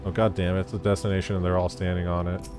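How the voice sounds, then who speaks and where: A man's voice: low voice, little pitch movement, fast, music in the background. A man giving casual, offhand and sweary commentary as he streams a video game.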